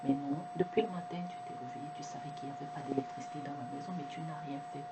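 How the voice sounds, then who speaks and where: Brief: woman, non-native French speaker, low pitch, earnest reflective delivery with restarts; noticeable background hum. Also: rapid-fire. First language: not French. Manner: earnest, many restarts and repeated words. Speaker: video presenter. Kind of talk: reflective monologue to camera.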